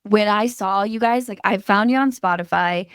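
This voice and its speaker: A woman's voice: medium pitch, normal speed, clear, resonant tone, no room sound, clean studio sound. A woman in her late twenties, a guest on a podcast, chatting casually and eagerly. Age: late 20s. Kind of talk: casual chat.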